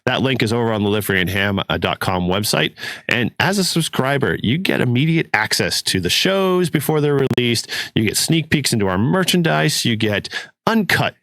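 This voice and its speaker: A man whose voice is raspy and hoarse from a cold, talking in a casual, rambling podcast manner with plenty of fillers. He sits at a middling pitch. The room is dry, with no echo. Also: lively intonation, normal speed, a bit bright. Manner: rambling, loose, with many fillers. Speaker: podcast co-host.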